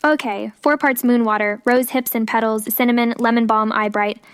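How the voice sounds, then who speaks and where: A diligent young witch who brews potions, friendly with a teasing edge, as a woman plays her. Her voice sits a touch high and sounds close and dry. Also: fairly even intonation, normal speed, smooth, no room sound, close to an everyday voice.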